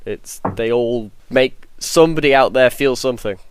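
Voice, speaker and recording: Man with a clear voice, dry sound. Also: mid-range voice, normal speed, no room sound. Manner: earnest, reflective. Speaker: podcast panelist. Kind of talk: casual podcast discussion.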